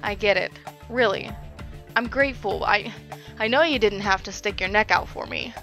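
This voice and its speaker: A woman's voice: mid-range voice, normal speed, a bit bright, soft background music, natural voice. A woman playing a stubborn, breezy guildmaster and fighter in a fantasy audio drama, talking in a casual, flippant way. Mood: firm, casual.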